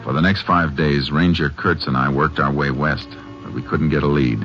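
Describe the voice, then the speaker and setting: Very deep-voiced grave Texas Ranger. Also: male voice, normal speed. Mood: measured narration. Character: grave, determined Texas Ranger lawman, man, narrating his case. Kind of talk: 1950s radio western acting. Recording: muffled old radio recording with music.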